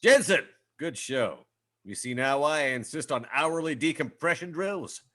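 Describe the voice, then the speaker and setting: Man playing a pompous, bright-voiced starship captain. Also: on the high side, animated, normal speed. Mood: self-important. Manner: pompous, haughty. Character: pompous, indignant male starship captain, easily affronted. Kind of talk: comic sci-fi table read.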